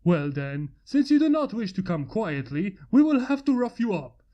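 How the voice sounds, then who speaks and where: A pompous male guard pony, as a woman reading a fanfic puts him on, formal and archaic in speech, with a mellow, darkened tone. His lively rising pitch carries over a dull, lo-fi sound. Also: moderate pace, natural voice. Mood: commanding.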